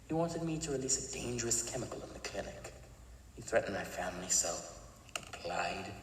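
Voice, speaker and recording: A disfigured criminal chemist, played by a man, with a very low voice and a calm, clipped, detached delivery. He sounds set back from the mic in an echoey room with a long tail of reverb. Faint background noise sits under the voice. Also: far from the mic, lightly acted. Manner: calm, clipped, matter-of-fact. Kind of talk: fan audio drama acting.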